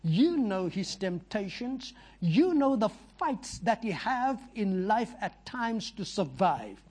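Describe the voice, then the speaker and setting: A high, husky male voice with an Afrikaans first language, leading a church service. He prays fervently and chats easily with the people in the pews. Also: very animated, slow pace, a little mellow. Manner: fervent prayer, chatty asides to congregants. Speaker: visiting preacher. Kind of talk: sermon and prayer.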